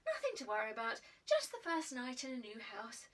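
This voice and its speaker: A woman voicing an earnest, proper schoolboy who talks primly and turns shrill when he protests. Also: huge pitch swings, fairly quick, distant mic. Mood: self-reassuring. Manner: prim and self-important, rising to shrill protest.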